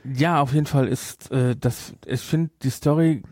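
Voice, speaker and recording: A man co-hosting a podcast in casual chat, retelling and reviewing in a halting way with repeated words. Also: medium pitch, steady tone, a little mellow, no room sound, studio quality. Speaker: podcast host.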